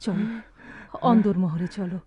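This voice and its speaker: An actress playing a worried younger sister in a radio drama, pleading and soothing. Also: female voice, fairly low pitch, fairly even intonation, measured pace, mellow, breathy edge. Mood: anxious concern. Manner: pleading, concerned.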